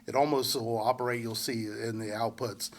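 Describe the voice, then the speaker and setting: A man with a congested head cold giving a relaxed, unscripted local weather forecast, full of fillers and restarts. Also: medium pitch, normal speed. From central Indiana. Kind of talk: casual forecast walkthrough.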